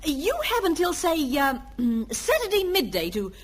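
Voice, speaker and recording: A woman's voice: high voice, big pitch swings, unhurried. An actress playing a firm, managing wife and mother in old-time radio comedy. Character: brisk, bossy suburban wife and mother. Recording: old radio recording with background hiss.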